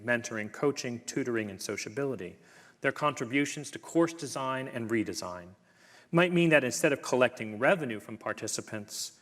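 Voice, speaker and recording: A man giving a university public lecture and then answering audience questions in a measured, thoughtful way, with frequent ums and uhs. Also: medium pitch, a bit bright. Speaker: guest lecturer, professor.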